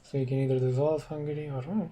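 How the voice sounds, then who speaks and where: A Romanian man, a non-native English speaker, casually commenting on a strategy game and thinking aloud with hums and pauses. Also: mid-range voice, steady tone, mellow. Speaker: gaming commentator.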